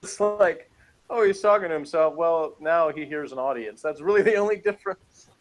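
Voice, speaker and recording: A man's voice: on the high side, moderate pace, faint music behind. A man chatting casually as a reviewer, giving his opinions on an episode in a rambling way.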